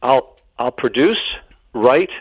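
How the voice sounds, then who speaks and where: A man's voice: mid-range voice, expressive, takes it slowly, slightly rough, no echo, rough recording. Earnest middle-aged man telling a dramatic story. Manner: earnest, dramatic, acts out quoted dialogue, repeats phrases for emphasis. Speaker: interview guest, theatre founder and storyteller. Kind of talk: animated personal storytelling in a radio interview.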